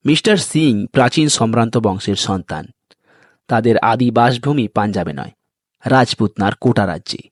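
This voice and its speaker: A man's voice: on the low side, expressive, normal speed, dry recording, clean studio sound. A man hosting and reading an audio story in a scripted narration style. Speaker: story reader and host. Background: music.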